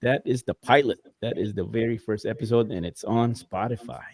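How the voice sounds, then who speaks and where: A man co-hosting a casual streamed talk show, speaking in a relaxed, laid-back way. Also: medium pitch, fairly quick. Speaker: podcast co-host. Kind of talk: casual chat.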